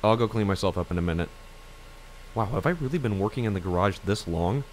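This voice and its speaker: A brooding, polite high school rich boy, played by a man in an audio drama. His fairly low voice is understated and a little hesitant, serious, with faint noise behind. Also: expressive, fast talker, lightly acted. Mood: weary, serious.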